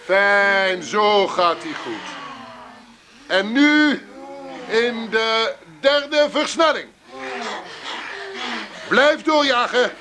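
An actor playing a gushing, patronising therapy group leader in a radio play, coaxing with repeated encouragement. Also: male voice, high voice, lively intonation, extremely slow, long pauses, background noise, exaggerated voice. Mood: exhorting, gushing. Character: gushing, patronising male therapy group guru, pushy and soothing.

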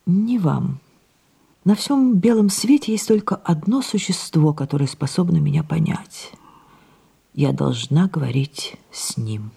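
Aging French diva: creaky, husky, imperious, theatrically swinging from cry to hush. Audio drama. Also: female voice, low voice, expressive, a little slow, exaggerated voice. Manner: theatrical, shifting suddenly between exclamation and hush. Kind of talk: audio play acting.

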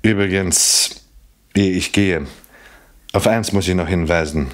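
An actor in a radio play playing a shrewd police inspector, formal, courteous and pleased with himself. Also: male voice, sits low, measured pace. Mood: self-satisfied, formal. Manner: formal, self-satisfied, courteous.

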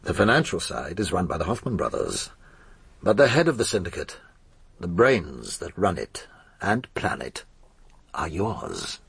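An actor playing a shrewd, bulky chief inspector in a British radio drama, with an English accent and a gruff, knowing manner. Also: male voice, sits low, huge pitch swings, measured pace, fairly bright tone, no echo, exaggerated voice. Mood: knowing, accusing. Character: shrewd, bulky male police chief inspector, blunt and assured.

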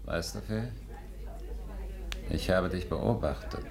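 An actor playing a boastful, flirtatious man-about-town with a smooth, self-important manner, in 1950s radio play style. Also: low voice, slow pace, heavily acted. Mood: appraising. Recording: 1950s radio recording with loud music behind.

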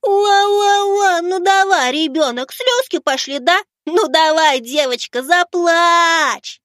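A man's voice: very high voice, unhurried, bright, forceful tone, no echo, clean studio sound, voice pushed up, heavily acted. A man voicing a cruel boy bully who taunts in a mocking sing-song with menacing sweetness. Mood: gleeful mocking.

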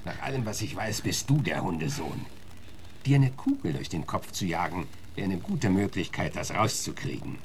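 A hard lawman of the Old West in a radio drama. He interrogates bluntly and with menace, in a fairly low voice that moves in lively swings. There is clear ambient noise behind him. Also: normal speed. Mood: menacing. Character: tough, threatening male marshal hunting a killer in the Wild West. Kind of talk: German radio play acting.

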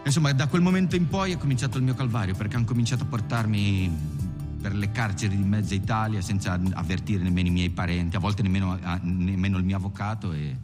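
An actor playing a weary, aggrieved bank robber who tells his story haltingly in a film monologue. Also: lowish voice, natural voice. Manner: halting, repeats words. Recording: long echoing reverb, faint music.

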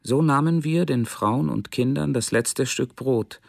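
An actor playing a hardened German soldier in a radio drama, giving a measured, grim first-person testimony. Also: male voice, mid-range voice, creaky, a little mellow, dry recording, clean studio sound. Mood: unsparing, grim. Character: hardened German soldier recounting plunder without mercy.

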